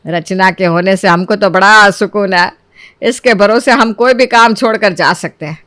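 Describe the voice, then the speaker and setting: A woman's voice: mid-range voice, normal speed, a bit bright, no room sound, studio quality, natural voice. A woman voicing an older, scheming mother-in-law in a cartoon moral story, lecturing and self-assured.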